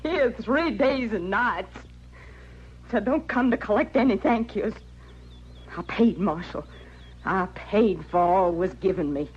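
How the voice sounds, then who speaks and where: A woman's voice: mid-range voice, animated, slow, long pauses, a little mellow, husky. On a dull vintage radio recording, a proud frontier widow speaks in rustic, folksy phrases. She sounds stubborn and wounded, in the manner of old western radio drama. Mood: bitter, defiant.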